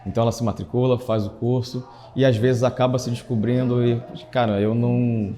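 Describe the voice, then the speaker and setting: A man's voice: medium pitch, level tone, a little fast, mellow. A man with a Brazilian accent, a chef instructor giving a reflective, emphatic testimonial for a documentary in short built-up phrases.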